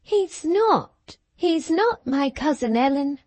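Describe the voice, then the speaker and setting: A woman voicing a spoiled, imperious young girl who speaks in a high, demanding, indignant way. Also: sing-song, measured pace, a little mellow, no echo. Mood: distressed.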